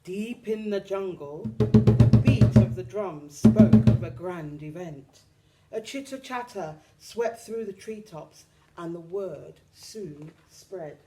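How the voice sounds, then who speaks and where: A woman reading a children's picture book aloud expressively, with dramatic, deliberate phrasing for young listeners. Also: fairly low pitch, mellow, faint music behind. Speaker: storytime reader.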